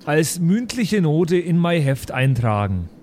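Man playing a halting, pedantic maths teacher. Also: a little high, sing-song, a little mellow, a little hiss or hum. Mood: instructing. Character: fussy, sceptical male maths teacher.

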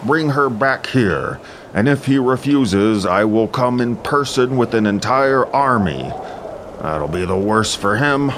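A man's voice: medium pitch, animated, unhurried, fairly bright tone, a little hiss or hum. A man voicing an angry warrior king, imperious and menacing, in an epic audio drama. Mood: angry, threatening.

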